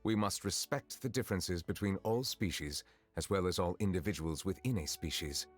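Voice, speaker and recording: An actor playing a dignified, aloof man in a fantasy game, speaking formally and gravely, as if giving a lecture. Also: low voice, normal speed, soft background music. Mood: grave, lecturing. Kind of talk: scripted game character dialogue.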